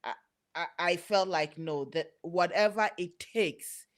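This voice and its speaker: A woman who immigrated to Canada, hosting a podcast interview, halting and restarting as she talks. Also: fairly low pitch. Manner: slow, halting, stammers on restarts. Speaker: podcast host. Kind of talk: interview conversation.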